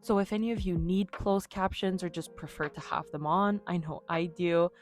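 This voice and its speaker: A woman's voice: fairly low pitch, fairly even intonation, normal speed, soft background music. A young woman whose first language is French, chatting in a casual, upbeat voice-over about her game build. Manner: chatty, upbeat, self-deprecating. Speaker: YouTuber narrating a game build.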